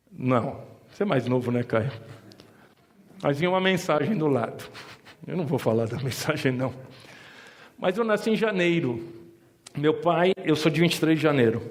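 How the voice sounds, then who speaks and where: A middle-aged man with a Mooca accent from São Paulo tells a personal family story to an audience, with joking asides. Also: a little high. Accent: São Paulo Italian-influenced (Mooca). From Brazil. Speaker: insurance advisor giving a talk.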